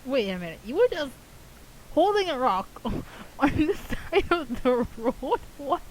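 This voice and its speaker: A woman giving casual, excitable reaction commentary to a game, often laughing. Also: sits high, measured pace, a little hiss or hum, rough recording. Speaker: game streamer reacting to a story quest.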